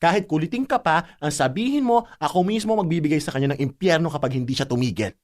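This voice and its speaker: A woman's voice: low-pitched, animated, fast, a little mellow. A woman playing a fiercely protective older sister in a radio drama, scolding and blunt but loving, with emphatic delivery. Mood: firm, caring. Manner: scolding, emphatic, with frequent tag questions. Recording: music under the voice.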